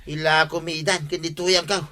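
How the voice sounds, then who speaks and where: Radio comedy: hearty Ilocano village pal, very sharp forceful voice on the high side. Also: male voice, flat delivery, moderate pace, soft background music. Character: hearty, loud village man, the captain's buddy. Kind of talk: comic radio drama acting.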